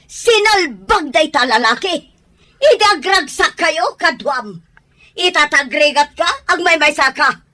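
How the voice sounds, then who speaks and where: A woman's voice: sits high, expressive, slow, pushed and bright, full character voice. A woman with an Ilocano accent playing an exasperated mother who scolds and exclaims in an audio drama. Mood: angry scolding.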